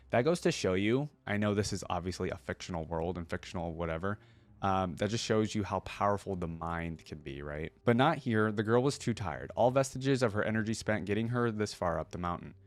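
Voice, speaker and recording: A man's voice: lowish voice, fairly quick. A man hosting a reaction video, reading a story aloud and breaking off to muse and explain in a casual way.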